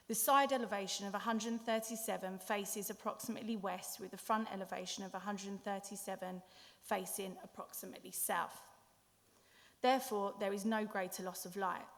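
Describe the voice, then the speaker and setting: A woman speaking to a planning committee, setting out her case point by point in a firm, measured way. Her voice sits at an ordinary middle pitch. It rings with the long reverb of a large council chamber. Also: creaky, a bit bright. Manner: firm, reads points in turn. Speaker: planning applicant. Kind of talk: prepared statement at a council meeting.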